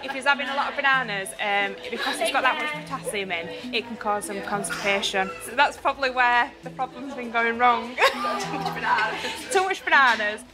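A woman's voice: a little high, fairly quick, few pauses, over loud music, a loud crowd. A woman nurse with a Yorkshire accent, chatty and sing-song as she checks a toddler in a hospital cubicle.

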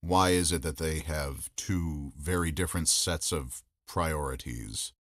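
Deep, slow-talking thoughtful man. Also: fairly bright tone, no echo, clean studio sound. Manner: measured, thoughtful, pausing mid-sentence. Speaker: podcast guest. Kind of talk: reflective interview talk.

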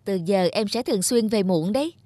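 A woman playing a busy, vain young wife and mother in an animated drama dub. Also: medium pitch, brisk, dry recording, natural voice. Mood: brisk.

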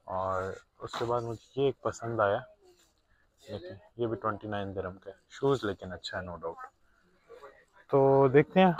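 A man's voice: lowish voice, poor audio. A young man talks casually to his followers and drops English words in as he goes. He sounds like a shopping vlog filmed inside a big discount store, picked up by the phone in his hand. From Pakistan. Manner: chatty, mixes in English words, talks to viewers as brothers. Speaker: vlogger. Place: large indoor discount store. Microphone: phone held by the filming hand.

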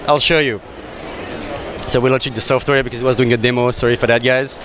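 A man's voice: medium pitch, flat delivery, fast talker. A man in his thirties from Belgium, with a Belgian accent, giving an eager, persuasive product demo on a trade show floor into a handheld mic. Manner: eager, persuasive, slightly non-native phrasing. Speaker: exhibitor demonstrating a photo booth. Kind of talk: energetic product demo and sales pitch. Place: crowded trade show hall, indoors. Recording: crowd chatter from a busy expo floor.

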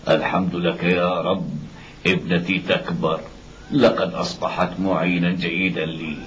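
Guy voicing sad gentle blind dad in a cartoon, low voice, bit of hiss. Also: lightly acted. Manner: sorrowful, pleading, gentle. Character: devout, tender blind widowed father of a little girl. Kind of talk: dubbed cartoon acting in formal Arabic. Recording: muffled old cartoon soundtrack with background noise.

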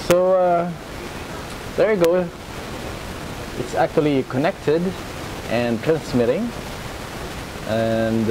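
A middle-aged man casually demonstrating an electronics modification at home, talking hesitantly with many pauses. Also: mid-range voice, background noise. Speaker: electronics hobbyist. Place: home room indoors.

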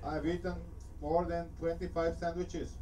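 A man's voice: on the high side, flat delivery, measured pace, dark tone, a little hiss or hum, poor audio. A truck driver speaking plainly in a documentary clip about his life on the road.

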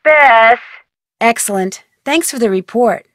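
A woman's voice: on the high side, lively intonation, takes it slowly, a bit bright, no echo, studio quality. An actress playing a plucky young female amateur detective in an adventure game, talking in an encouraging, insistent, conversational way. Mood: brisk, businesslike. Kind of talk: scripted adventure game dialogue.

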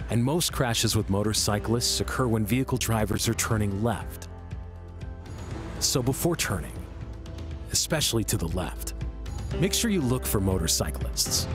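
A man narrating a scripted public service announcement as an announcer. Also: fairly low pitch.

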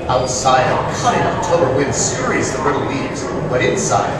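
A man's voice: mid-range voice, big pitch swings, normal speed, a loud crowd, natural voice. A middle-aged man playing a polished, courteous, salesmanlike 1940s radio announcer on stage in a hall. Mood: grand, welcoming. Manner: polished, emphatic, theatrical. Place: live stage reading in a large hall. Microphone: handheld microphone through speakers, far camera. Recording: echoey hall from far off, audience noise.

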